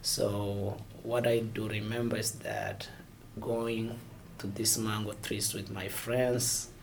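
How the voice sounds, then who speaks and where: A man from Somalia, answering interview questions haltingly and searching for words. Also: fairly low pitch, lively intonation, gravelly, slight background noise. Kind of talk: oral history interview.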